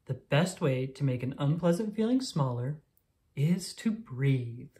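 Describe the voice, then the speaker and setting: A woman reading a children's picture book aloud in an expressive, deliberate storytelling manner for young listeners. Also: extremely low pitch. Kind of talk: scripted read-aloud narration of a picture book.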